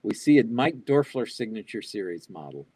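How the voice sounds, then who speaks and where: An older man with a husky voice that sits a bit low, answering questions live over a video call. He reads each question out, then talks it through off the cuff with plenty of "um"s and restarts. The sound comes through an earbud mic in a small home office. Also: very animated, normal speed, warm tone, no echo. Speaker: keynote speaker answering audience questions. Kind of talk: live online Q&A. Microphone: wired earbud headset on a video call.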